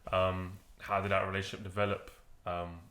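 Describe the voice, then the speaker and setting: A man hosting a podcast, asking a guest thoughtful, measured questions. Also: low-pitched, flat delivery, a bit bright. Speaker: podcast host. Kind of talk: interviewing a guest. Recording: echoey room.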